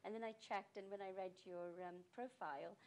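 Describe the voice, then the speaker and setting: A fast-talking middle-aged woman hosting a chatty interview, with plenty of ums and quick laughs. Her voice sits at a middle pitch, and faint background hiss runs underneath. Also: steady tone, darkish tone, poor audio. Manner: chatty, laughs often, filler-heavy. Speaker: host.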